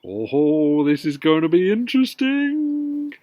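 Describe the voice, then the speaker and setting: Storybook: smug sweet-talking husband, as the reader puts him on, grinning, dry close sound. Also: male voice, on the high side, expressive, normal speed, no room sound, natural voice. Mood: sly sweet. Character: sly smirking husband, sweetly mischievous. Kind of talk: storybook reading.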